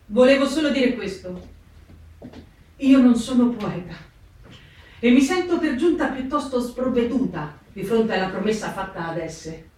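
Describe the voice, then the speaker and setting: A woman's voice: on the high side, lively intonation, unhurried, a little mellow. Wry, unsure female camp diarist, stage reading, distant in a heavily reverberant room. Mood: hesitant, musing. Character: self-doubting, wry woman writing from a wartime camp. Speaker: stage actress. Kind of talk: dramatic literary reading. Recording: very echoey, distant, music behind. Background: guitar music.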